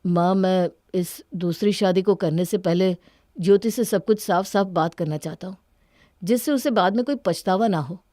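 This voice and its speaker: A woman's voice: on the low side, moderate pace, mellow, no echo, studio quality, close to an everyday voice. A woman voicing a hurt, guarded young husband in a cartoon story, with an earnest, plaintive manner. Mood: guarded, sombre.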